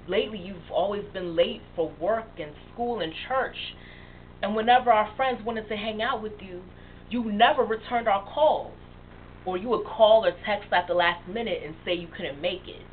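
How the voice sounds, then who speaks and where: An actress playing a young woman who haltingly and emotionally confesses a past addiction to her best friend. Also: mid-range voice, close to an everyday voice. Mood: pleading. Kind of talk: dramatic monologue. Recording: tinny phone-like sound with faint hiss.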